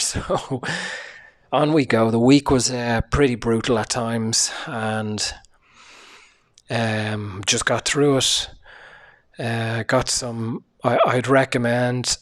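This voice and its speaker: A sick man hosting a casual solo podcast, speaking quietly with a hoarse, tired voice, swearing often and using many fillers. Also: mid-range voice. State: sick, low energy, voice hoarse. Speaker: podcast host.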